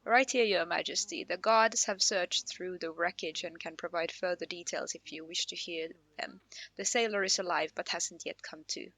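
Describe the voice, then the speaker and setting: A woman's voice: on the low side, steady tone, normal speed, fairly bright tone. A woman voicing a dutiful medieval guard who reports formally and respectfully in a game read-aloud. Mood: dutiful, serious. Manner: formal, deferential.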